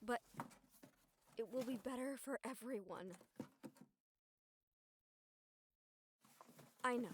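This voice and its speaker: A woman's voice: on the high side, noisy background, close to an everyday voice. A woman acting a weary, sardonic, stubborn young newspaper reporter in an intimate audio roleplay, talking in a casual, wry, sweary way. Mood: firm, pleading.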